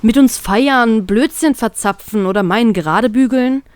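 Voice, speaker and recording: A woman's voice: on the high side, moderate pace, darkish tone, clear, resonant tone, no room sound, close to an everyday voice. A young male pirate, done by a female storyteller who sounds affectionate and upset. He pleads with a slightly raised voice. The sound is dry and close. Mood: pleading. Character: young male fire-wielding pirate, warm and protective.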